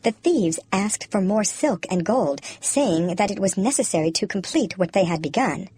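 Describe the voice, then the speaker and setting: Woman narrating a fairy tale, lively intonation. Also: medium pitch, normal speed, husky, dry recording. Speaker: storyteller. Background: background music.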